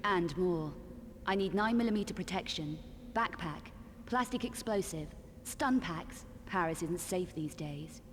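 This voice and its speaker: A woman's voice: lowish voice, unhurried, long reverb tail, a little hiss or hum, natural voice. An actress voicing a determined young woman adventurer in a video game, cool, clipped and businesslike. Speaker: character in a video game cutscene.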